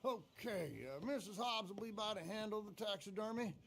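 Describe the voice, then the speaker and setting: An actor playing a smug, sarcastic master furrier and retired hunter in a western video game, mocking and showy. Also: male voice, high voice, moderate pace, fairly bright tone, a little hiss or hum. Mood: sardonic, smug. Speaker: fur trader and craftsman. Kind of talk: theatrical video game cutscene acting.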